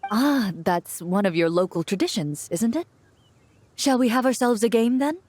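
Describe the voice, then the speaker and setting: A woman's voice: fairly high pitch, expressive, moderate pace, darkish tone, slight background noise, close to an everyday voice. A woman voicing a composed young champion duelist in a video game, formal and polite. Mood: curious.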